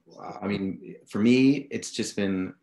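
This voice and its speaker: A man on a video call panel, relaxed and plain-spoken. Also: on the low side, takes it slowly. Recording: echoey room on video call.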